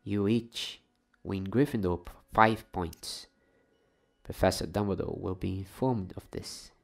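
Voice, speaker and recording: A man voicing a stern older woman professor in a storybook reading, scolding in a measured way. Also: sits low, vocal fry, heavily acted. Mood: grudging approval.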